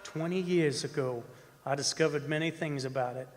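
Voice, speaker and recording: An actor playing a haunted, obsessed older oil driller, telling a horror tale in a dramatic, halting, anguished way in a stage radio play. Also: male voice, a little high, faint music behind, natural voice. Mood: haunted longing. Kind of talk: old-time radio horror monologue performed on stage. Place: performing arts center stage. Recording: reverberant stage hall with noise.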